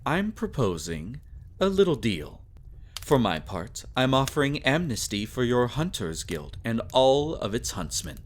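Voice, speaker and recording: Slow, sadistic, suave vampire lord. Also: male voice, medium pitch, big pitch swings. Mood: silky, bargaining. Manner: theatrical, taunting, silky condescension with drawn-out phrasing. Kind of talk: scripted dramatic roleplay monologue.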